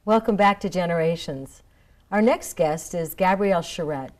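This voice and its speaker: A woman with a low voice hosts a studio talk show. She poses her questions politely and keeps the exchange upbeat. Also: normal speed, a little mellow. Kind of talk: studio interview hosting.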